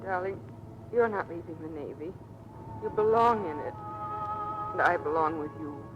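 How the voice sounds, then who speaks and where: A woman's voice: fairly low pitch, normal speed, natural voice. An actress playing an airman's devoted, tearful sweetheart in an old radio drama, with emotional pleading. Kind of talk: 1940s-50s radio drama acting. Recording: muffled vintage radio recording with music.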